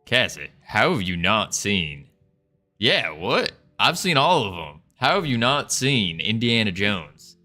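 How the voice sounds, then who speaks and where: A man's voice: fairly low pitch, big pitch swings, slow pace, pushed and bright, faint music behind. A young man chatting casually as a livestreamer, putting on an American Southern accent with playful, folksy cowboy talk. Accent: put-on American Southern. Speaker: live streamer chatting with viewers.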